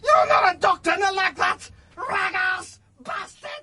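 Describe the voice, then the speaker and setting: An actor playing a frantic, brash working-class expectant father in a radio drama, yelling bluntly and urgently. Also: extremely high pitch, animated, a little slow, heavily acted. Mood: frantic, indignant.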